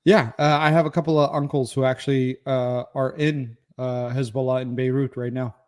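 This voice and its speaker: A man's voice: mid-range voice, level tone, normal speed, darkish tone. A man co-hosting a podcast who talks bluntly and profanely in casual political banter. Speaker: podcast co-host.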